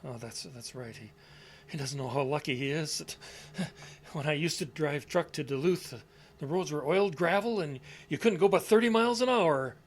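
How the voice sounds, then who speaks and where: A man's voice: on the high side, slightly rough. An actor playing an elderly widower with failing memory in an audio drama, meek and muddled, stammering as he hunts for words. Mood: sheepish, confused. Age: older. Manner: halting, stammering, searching for words.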